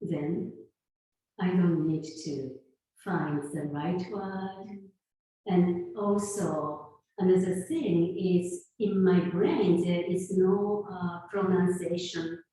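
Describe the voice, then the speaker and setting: A woman in her fifties who grew up in Japan and speaks English with a Japanese accent. She talks in an unhurried, halting way, with soft laughs between thoughts, as a guest in an interview. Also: on the low side. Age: 50s. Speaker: guest, sound healer. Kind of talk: conversational interview. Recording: roomy echo, far from mic.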